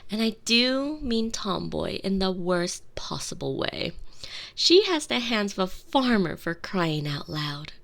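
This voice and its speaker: A woman's voice: medium pitch, very animated, slow, gravelly, exaggerated voice. A woman playing a sheltered, haughty, lonely young princess in an intimate, theatrical ASMR roleplay on a close binaural mic, sometimes dropping into whispers. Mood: haughty, catty. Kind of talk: ASMR roleplay, scripted monologue. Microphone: close binaural microphone.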